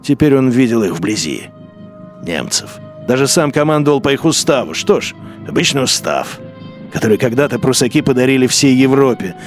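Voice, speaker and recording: A man reads a radio drama in a measured, bitter inner-monologue voice. His pitch sits in the middle range, and faint music plays under his words. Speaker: narrator.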